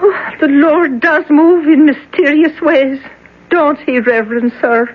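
A woman's voice: high-pitched, a little slow. A woman playing a fervent, superstitious old woman. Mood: fearful. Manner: fervent, fearful exclamations. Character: pious superstitious older woman, fretful. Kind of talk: 1970s radio drama acting. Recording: dull old radio broadcast.

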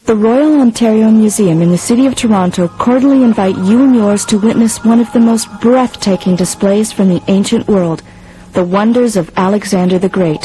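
A woman's voice: medium pitch, close to an everyday voice, low-quality recording. A woman playing a formal, grand announcer reading a scripted radio advertisement. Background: music.

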